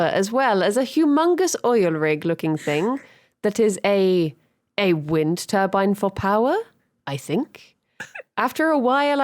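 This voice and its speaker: A woman's voice: mid-range voice, a little hiss or hum. A woman podcast host reading a guest's dream diary aloud, breaking into frequent laughter. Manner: giggly, reads with frequent laughter.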